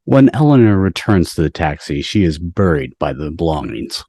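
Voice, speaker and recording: A man's voice: sits low, big pitch swings, moderate pace, warm tone, dry recording, studio quality. A man reading stage directions as the narrator of a scripted table read. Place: video call from indoors.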